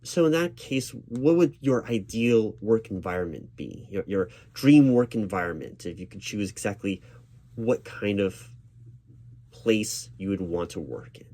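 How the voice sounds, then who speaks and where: A man doing a spoken ASMR job interview roleplay as a reassuring, gently professional interviewer who speaks a little hesitantly. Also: lowish voice, expressive, a little slow. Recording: clear background noise of keyboard typing. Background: computer keyboard typing.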